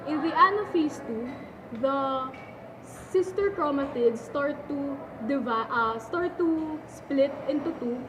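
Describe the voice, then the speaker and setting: A woman giving a halting scripted explanation as a presenter in a puppet show. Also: sits high. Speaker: student presenter playing a doctor. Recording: clearly noisy amateur recording.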